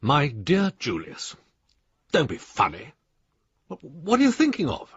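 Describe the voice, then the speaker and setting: An actor playing an exasperated older English adviser, husky, clipped and scornful, reading his letters aloud in a radio drama. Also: a little high, huge pitch swings, a little slow, no echo, exaggerated voice. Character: blunt, exasperated old friend and professional adviser, male. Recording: dry, slightly dull studio sound.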